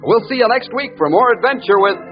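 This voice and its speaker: Casting: male serial narrator, lively, highish voice, storytelling over music on a dull old recording. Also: fast. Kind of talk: old-time radio scripted storytelling. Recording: dull vintage radio with music.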